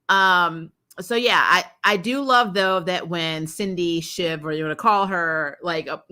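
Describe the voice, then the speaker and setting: A woman with a somewhat low voice and a bright, forceful edge hosts an off-the-cuff review. She talks casually and slangily, peppering her sentences with fillers like "you know". She is animated and acts out reactions as she retells scenes. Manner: animated, exclaiming, acts out reactions, laughs often; informal slangy talk with frequent "like" and "you know". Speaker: host of a TV episode review show.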